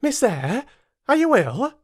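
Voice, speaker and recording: A man voicing a kindly young maidservant who asks anxious, gentle questions in a storybook reading. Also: very high voice, big pitch swings, slow pace, breathy edge, no echo, studio quality, pitched above the performer's own voice, full character voice. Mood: worried.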